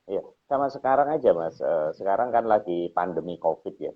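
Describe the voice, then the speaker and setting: A man's voice: sits low, animated, brisk. A man with an Indonesian accent chatting as a discussion partner, politely agreeing and adding his own points. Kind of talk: casual discussion. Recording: thin phone-like call audio.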